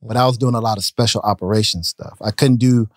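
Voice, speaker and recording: A man in his thirties, a business owner telling stories in a casual podcast interview, relaxed and talking with his hands. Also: on the low side, fast talker, no echo. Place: podcast studio, indoors. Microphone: studio mic on a boom arm. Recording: dry close studio sound.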